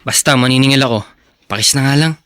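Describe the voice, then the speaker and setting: A man's voice: medium pitch, fast talker, a bit bright, clean tone, no echo, close to an everyday voice. An actor playing a cocky, wheedling, slangy teenage boy in a radio drama. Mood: wheedling, sulky. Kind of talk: Filipino radio drama acting.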